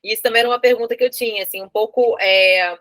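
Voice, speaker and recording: A Brazilian woman casually putting questions as an interviewer in a relaxed discussion. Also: on the high side, fast, no room sound.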